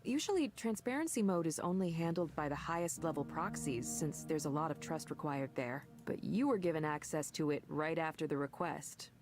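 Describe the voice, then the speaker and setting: A woman's voice: deep voice, lively intonation, normal speed, few pauses, a little mellow. An actress voicing a confident woman manager at a tech company, reassuring and corporate in tone. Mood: intrigued. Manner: reassuring, corporate, slightly defensive. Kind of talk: video game voice acting. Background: game music.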